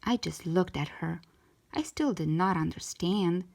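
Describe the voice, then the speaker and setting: A woman's voice: lowish voice, a little slow, gravelly, a little mellow. A woman narrating a children's book aloud as a storytime reader.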